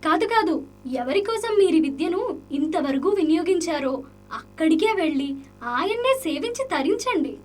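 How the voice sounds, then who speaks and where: A woman's voice: very high voice, expressive, normal speed, a little mellow. A woman playing an earnest, respectful, insistent young woman in an Indian radio drama. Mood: earnest pleading. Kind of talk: Indian radio drama acting in classical play.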